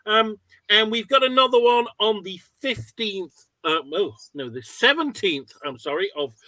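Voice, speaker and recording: Guy hosting a webinar, pretty high bright voice, chatty and encouraging, on a video call. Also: expressive, measured pace, no room sound, rough recording. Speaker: webinar host. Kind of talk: informal webinar introduction.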